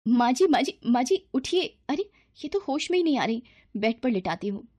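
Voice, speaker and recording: A woman voicing a gentle young daughter-in-law in a moral story, polite and earnest in manner. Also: high-pitched, very animated, normal speed, no echo, voice pushed up. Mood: concerned, caring.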